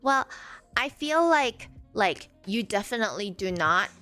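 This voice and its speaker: A woman in her forties who grew up in Malaysia, a streamer answering chat in a halting way with many fillers. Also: medium pitch, sing-song, bright, forceful tone, soft background music. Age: 40s. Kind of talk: live stream chat reading viewer comments.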